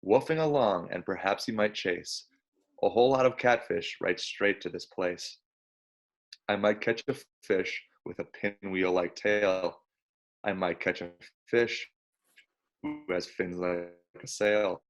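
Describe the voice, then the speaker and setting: Casting: male, early 20s, low register, casual amateur talker and storybook reader, slightly dull lo-fi capture. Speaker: college basketball player, guest. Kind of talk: casual chat and reading a children's storybook aloud. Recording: dull lo-fi sound.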